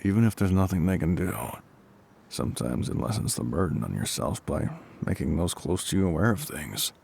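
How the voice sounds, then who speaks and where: A man playing a gruff, kindly middle-aged firefighter captain in an intimate audio roleplay, reassuring and a little awkward, with a gravelly voice. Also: deep voice, lively intonation, a little mellow, heavily acted. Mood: kindly, wry. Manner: reassuring, slightly awkward, hesitant pauses.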